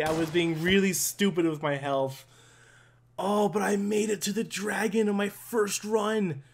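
A young man giving casual, excitable commentary on a game he is playing, swearing now and then and reading the game's dialogue aloud. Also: high-pitched. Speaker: gaming video creator. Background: game music.

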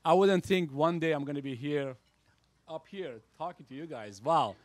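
Middle-aged man, humble restaurant owner, slightly high voice, dry close mic. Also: moderate pace, no room sound. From Iran. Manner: humble, earnest thanks. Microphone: handheld mic.

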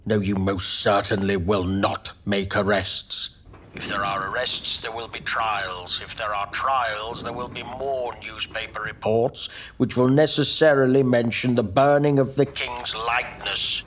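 A man's voice: lowish voice, steady tone, measured pace, a crowd in the background, lightly acted. An actor playing a stern, authoritative older statesman, speaking in clipped, commanding tones over a telephone. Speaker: senior politician on the phone. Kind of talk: period TV drama. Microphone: phone line.

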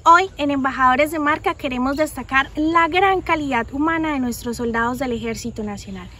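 A woman presenting a segment outdoors in an upbeat, bright way. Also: sits high, fairly quick, soft background music. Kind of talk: scripted presenting.

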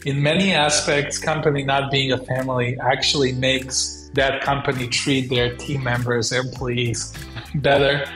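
A man's voice: mid-range voice, level tone, a little slow, a bit bright, echoey room, faint music behind, low-quality recording. A man in his thirties, from Southeast Europe, answering interview questions over a video call in an earnest, reflective way. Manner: earnest and reflective, with frequent fillers. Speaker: guest entrepreneur. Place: small room at home.

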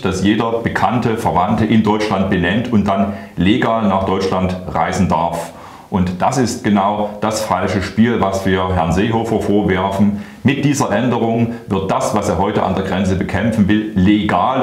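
A middle-aged man explains a political position with measured delivery. His voice sits on the low side, and the studio around him adds a clear echo. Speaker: politician answering as studio guest. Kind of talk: prepared political statement. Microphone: clip-on lapel mic. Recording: roomy, echoing studio sound.

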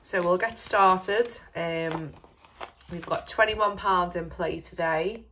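Congested woman slowly reading scratch cards. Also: fairly low pitch, fairly bright tone, a little hiss or hum. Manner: slow, chatty, reading out numbers and letters. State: congested with a cold. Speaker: scratch card vlogger. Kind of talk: casual narration over scratch card video.

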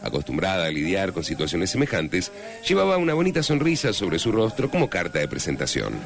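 A man's voice: deep voice, wildly swooping pitch, a little fast, soft background music, heavily acted. A man playing a florid, mock-solemn narrator of a comic radio serial. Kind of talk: dramatic scripted narration.

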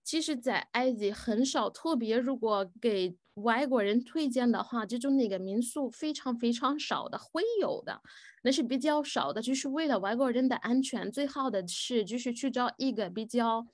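A woman fields audience questions, talking slowly and hesitantly with many pauses and fillers. Her voice sits a little high. The recording is close and dry with no room sound. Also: dry recording. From Egypt. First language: Arabic. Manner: switches between Chinese and English. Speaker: guest speaker answering audience questions. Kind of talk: live Q&A at a student forum.

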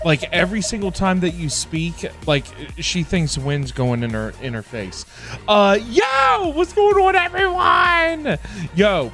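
A middle-aged man chatting casually on a livestream in a halting, repetitive, informal way. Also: fairly high pitch, fairly bright tone. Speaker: livestream host. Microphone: close desk microphone. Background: background music.

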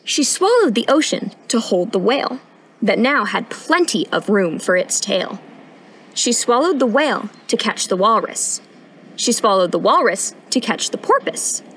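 A young woman reading a picture book aloud at storytime in an expressive, sing-song rhyming delivery, recorded outdoors. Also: fairly high pitch, slight background noise. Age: young adult. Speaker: storytime reader, intern. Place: outdoors on a wooden porch.

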